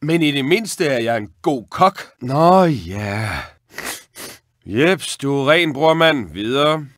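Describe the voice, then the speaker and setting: A man voicing an eager young male rat who dreams of cooking, in an expressive, excitable and wry video game performance. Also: mid-range voice, no room sound, studio quality, natural voice. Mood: eager, determined. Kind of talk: animated video game voice acting.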